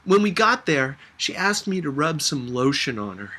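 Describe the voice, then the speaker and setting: A man's voice: fairly high pitch, big pitch swings, moderate pace, bright, forceful tone. A man reading a first-person story aloud in a conversational, reflective manner. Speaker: audiobook reader.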